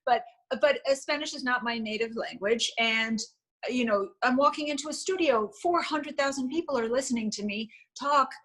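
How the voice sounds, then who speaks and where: A woman's voice: high-pitched, moderate pace, a bit bright. A woman guest in an interview, answering candidly and with self-deprecating humor, with frequent ums. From United States.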